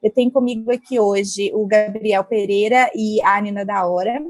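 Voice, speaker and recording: A woman's voice: medium pitch, steady tone, normal speed, faint music behind. A woman moderating an online panel, introducing the guests in a friendly way over a video call.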